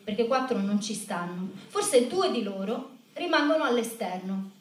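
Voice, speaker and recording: A woman telling a scripted story in a stage show, in a measured, reflective manner. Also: on the high side, sing-song. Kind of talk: scripted spoken-word narration in a theatre show. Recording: echoey room, distant microphone.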